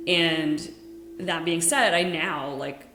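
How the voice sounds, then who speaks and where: A woman's voice: lowish voice, slow, bright, forceful tone, roomy sound, faint music behind, poor audio. A young American woman whose first language is Dutch, a podcast host talking frankly and casually with many fillers. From United States.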